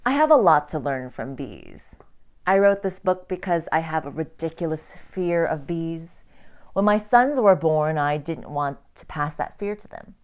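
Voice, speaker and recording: A woman reading a children's picture book aloud like a teacher, with expressive, deliberate phrasing for young listeners. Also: sits low. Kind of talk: children's storybook read-aloud.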